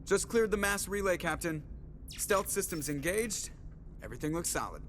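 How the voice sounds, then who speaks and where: A man's voice: sits high, animated, normal speed, fairly bright tone, slight background noise. An actor playing a sarcastic, cocky and suspicious male spaceship pilot in a video game cutscene. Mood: brisk report. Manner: sardonic, wisecracking.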